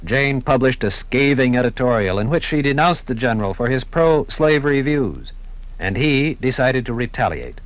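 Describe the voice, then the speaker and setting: An American man narrating a scripted 1950s radio documentary in a measured, formal storytelling manner. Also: medium pitch, lively intonation, a little hiss or hum. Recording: 1950s radio broadcast from vinyl record.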